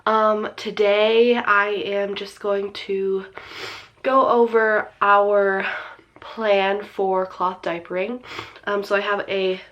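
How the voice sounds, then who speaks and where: A woman's voice: mid-range voice, flat delivery, takes it slowly, dull audio. A woman in her twenties, sniffly from a cold, casually talking to her viewers as a vlogger with lots of ums and likes. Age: 20s. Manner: chatty, many ums and likes. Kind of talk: casual explainer talk to camera.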